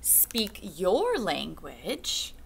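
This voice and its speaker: A woman in her thirties, a teacher, reading a picture book aloud to young children in a classroom with a slow, sing-song storytime delivery. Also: on the low side, wildly swooping pitch, vocal fry, slight background noise. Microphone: webcam at desk.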